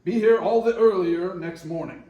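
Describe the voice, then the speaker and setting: A man's voice: high voice, normal speed, dark tone, muffled recording, a little hiss or hum, close to an everyday voice. A man playing a cold, irritable old miser in a readers theater, curt and emphatic. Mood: grumbling. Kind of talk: readers theater stage reading. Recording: roomy echo.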